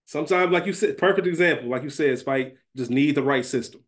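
A man's voice: a little high, brisk. A man co-hosting a podcast, chatting casually and slangily and repeating phrases for emphasis. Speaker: podcast co-host. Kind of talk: casual fantasy-draft banter.

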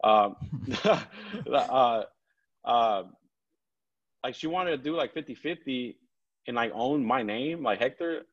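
A man's voice: medium pitch, animated. Lisping man, dull call audio. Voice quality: lisp. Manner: halting, rambling, peppered with slang and swearing. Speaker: podcast guest, comedian and film director. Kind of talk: casual chat, slangy storytelling. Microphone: video call.

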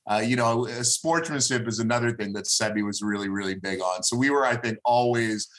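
A man in his thirties, a native Hebrew speaker from Israel, talking as an interview guest in a thoughtful, halting way with many fillers. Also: mid-range voice. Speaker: guest, moving company businessman. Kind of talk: casual podcast interview.

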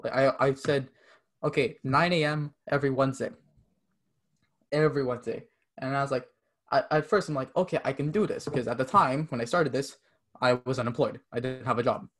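A man's voice: mid-range voice, fairly quick, fairly bright tone. Young canadian guy rambling on a podcast, lots of likes, chill casual chat. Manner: rambling, many "like" fillers, acts out quoted texts. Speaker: podcast guest.

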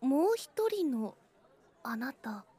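Delicate, high-voiced mysterious schoolgirl, acted by a woman. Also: very animated, slow pace, warm tone, husky, heavily acted. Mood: calm, enigmatic. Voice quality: delicate, fragile voice. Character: mysterious frail pale schoolgirl, calmly reassuring. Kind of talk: audio drama acting.

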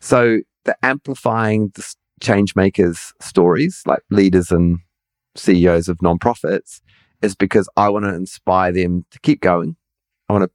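A man's voice: sits low, darkish tone, no echo, clean studio sound. A middle-aged man with a somewhat nasal voice, hosting a podcast in casual conversation, hesitant and self-deprecating with many restarts. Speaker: podcast host.

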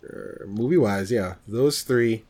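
A man co-hosting a podcast, chatting casually about films in an animated, exclamatory way. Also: lowish voice, slow. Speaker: podcast co-host.

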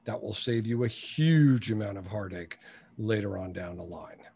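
A man's voice: fairly low pitch, moderate pace, vocal fry, a little mellow, slightly rough. Blunt, opinionated man in his early sixties. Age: early 60s. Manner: blunt, opinionated, emphatic, punchy colloquial phrasing. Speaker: interview guest, business coach. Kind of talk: conversational interview with anecdotes and advice.

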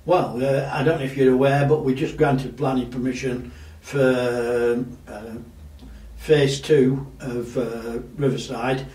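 Guy, loud voice, lots of uhs, mellow tone, sounds a bit far off in an echoey room. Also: mid-range voice, fairly even intonation. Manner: loud voice, halting with many fillers. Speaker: local councillor. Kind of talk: casual interview talk. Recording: echoey room, distant mic.

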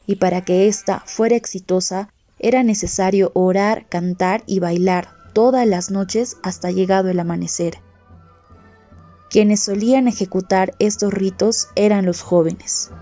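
A woman's voice: medium pitch, warm tone, no echo. A woman reading a folk tale as a scripted storytelling narration. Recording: muffled audio with music underneath. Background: music.

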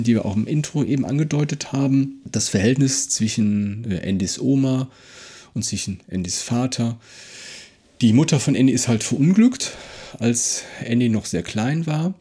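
A man's voice: mid-range voice, moderate pace, dark tone, husky. A man co-hosting a casual discussion, retelling and explaining a story in a conversational way. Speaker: podcast co-host.

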